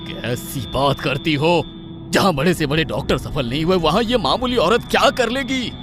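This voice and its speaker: A man's voice: fairly high pitch, expressive, normal speed, pitched above the performer's own voice, natural voice. A man voicing a greedy, gullible merchant husband in a cartoon horror story, incredulous and eager, over background music. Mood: scornful.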